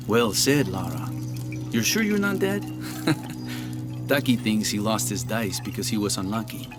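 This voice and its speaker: A man's voice: on the low side, lively intonation, unhurried. An actor playing a proud, roguish gambler in a video game, sly and philosophical as he teaches. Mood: sly, amused. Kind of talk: video game cutscene acting. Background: music.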